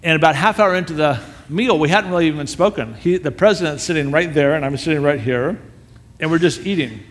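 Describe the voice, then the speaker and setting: A man's voice: fairly high pitch, a little fast. Man telling a story, hall reverb. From United States. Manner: animated, self-deprecating storyteller. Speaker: missionary speaker. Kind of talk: storytelling sermon.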